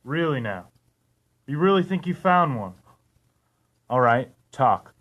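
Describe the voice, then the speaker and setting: A man's voice: mid-range voice, sing-song, slow, a bit bright, pitched above the performer's own voice. A man voicing a skeptical, commanding space captain with a doubting manner in a radio drama. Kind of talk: old-time radio drama acting.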